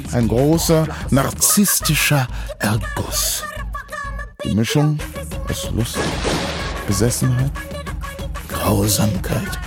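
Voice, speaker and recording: A man's voice: fairly high pitch, exaggerated voice. A man playing a pompous, self-satisfied colonial potentate, lecturing grandly in a satirical stage monologue. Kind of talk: theatrical monologue in a satirical revue.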